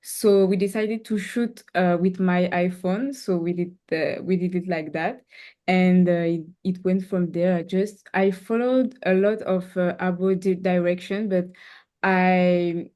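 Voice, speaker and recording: Slow, halting young woman. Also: on the low side, steady tone, warm tone. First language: Creole. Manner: halting, searches for words. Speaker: cinematographer guest. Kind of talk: casual interview talk.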